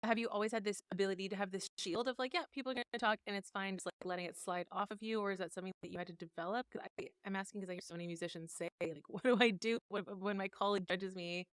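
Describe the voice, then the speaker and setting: A woman's voice: mid-range voice, fairly even intonation, racing, hardly any pauses, smooth, no room sound. A woman hosting a podcast interview, enthusiastic and gushing in a casual way. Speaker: podcast host.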